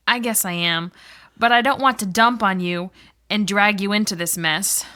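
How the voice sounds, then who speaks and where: A woman's voice: medium pitch, a little slow, sharp tone. A woman playing a worried, downcast wife in soap-opera dialogue for English learners, enunciating carefully. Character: worried, downcast wife fearing her husband's affair.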